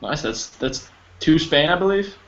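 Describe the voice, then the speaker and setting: A man teaching an English class over a video call, patient and encouraging, explaining slowly with examples. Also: on the high side, animated. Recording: slightly dull audio with faint noise.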